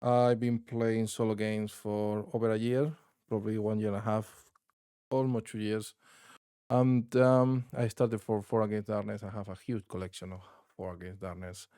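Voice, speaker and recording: A man's voice: lowish voice, little pitch movement, moderate pace, dark tone, dry recording, studio quality. A man from Spain with a strong Spanish accent, hosting his tabletop gaming channel in casual, rambling talk with many restarts. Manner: rambling, frequent restarts and fillers. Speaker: channel host.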